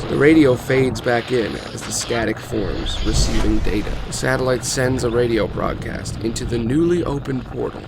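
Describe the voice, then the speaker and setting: A man's voice: medium pitch, vocal fry, noisy background. A man narrating a screenplay as podcast host, reading scene directions in a dramatic scripted style. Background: music.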